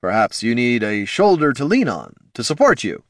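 A man playing a dashing, smug male knight champion with a booming, trumpet-like voice and a grandly heroic, smooth-talking manner. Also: very animated, moderate pace, no echo, studio quality, lightly acted. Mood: wooing.

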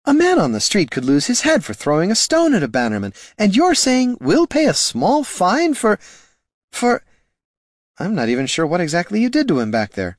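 A man's voice: high-pitched, huge pitch swings, normal speed, dry recording, studio quality, pitched above the performer's own voice, exaggerated voice. A man doing a flustered young scribe. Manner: anxious, flustered and protesting. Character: nervous young male scribe.

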